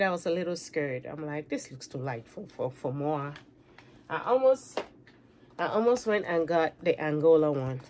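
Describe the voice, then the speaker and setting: A woman's voice: low-pitched, a little mellow. A middle-aged woman from Cameroon with a Cameroonian accent, chatting to camera about makeup she bought, with laughing asides and repeated words, in a room lined with handbag shelves. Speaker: beauty vlogger. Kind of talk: casual chat to camera.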